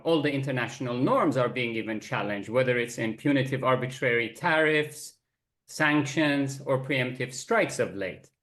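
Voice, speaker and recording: A man moderating a panel discussion, speaking in a measured way with frequent fillers. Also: mid-range voice, a bit bright.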